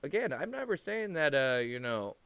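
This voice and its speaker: Guy doing youtube commentary, clear higher voice, dry sound, rambles and swears a lot. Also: sing-song, moderate pace, a bit bright, no echo. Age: adult past his teens. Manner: rambling, repetitive, profane. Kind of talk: unscripted opinionated commentary.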